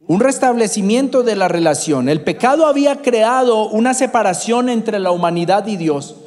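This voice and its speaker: A man's voice: sits high, animated, normal speed, darkish tone. A man from Bogotá with a Bogotá Colombian accent, preaching a sermon and calling out congregation members by name. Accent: Bogotá Colombian Spanish. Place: church hall. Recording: reverberant church hall.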